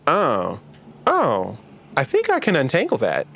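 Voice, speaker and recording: A woman's voice: rumbling low, wildly swooping pitch, measured pace, creaky, slight background noise, full character voice. A woman playing a naive, earnest young alien in an audio drama, literal, puzzled and eager.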